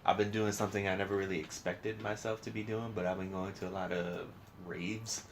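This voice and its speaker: A man's voice: deep voice, flat delivery, fairly quick. A man hosting a casual podcast, chatting informally with slang and frequent swearing. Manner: profane, slangy, informal talk. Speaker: podcast host. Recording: clear background noise.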